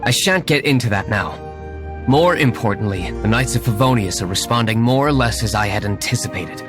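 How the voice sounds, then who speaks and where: An actor playing a composed, shrewd young gentleman winery owner in a video game, formal and measured, with music behind him. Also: medium pitch, lively intonation, lightly acted. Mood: guarded. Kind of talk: video game character acting.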